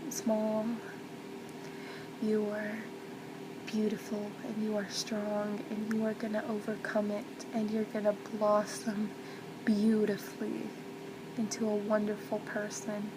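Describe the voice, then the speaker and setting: Woman playing a gentle mom soothing her kid, asmr style, soft n slow almost whispery, super noisy background. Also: medium pitch, monotone, natural voice. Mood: tender, encouraging. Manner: tender, slow, reassuring, often near a whisper. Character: loving mother gently comforting her child. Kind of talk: ASMR role play, soft-spoken. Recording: loud constant background noise, ambience.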